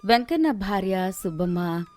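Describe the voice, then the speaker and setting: A woman narrates a scripted moral story. Her pitch sits in the middle for a woman, and music plays behind her. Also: sing-song, measured pace, darkish tone.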